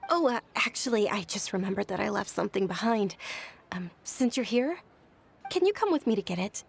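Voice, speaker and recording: An actress voicing a gentle, earnest young noblewoman in a video game, with a polite, softly enthusiastic manner. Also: medium pitch, animated, fairly quick, gravelly, slight background noise. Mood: flustered.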